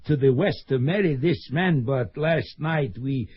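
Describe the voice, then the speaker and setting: An actor playing a bitter, protective older father in a radio drama, with a husky voice. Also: mid-range voice, measured pace. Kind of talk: 1970s radio drama acting. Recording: dull lo-fi old radio recording.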